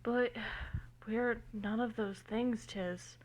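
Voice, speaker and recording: Anxious, reluctant young boyfriend played by a female reader, whiny, unhurried, storybook reading on a phone. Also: a little high, fairly even intonation. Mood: doubtful. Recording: amateur phone recording.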